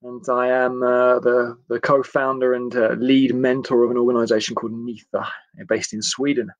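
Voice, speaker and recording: A man in his forties with an English accent, talking informally on a livestream as a coach in a friendly, chatty, halting way. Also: medium pitch, level tone, no echo, highs cut off. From England. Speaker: football coach giving a livestream talk. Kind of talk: informal live talk to camera. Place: indoors, small attic room.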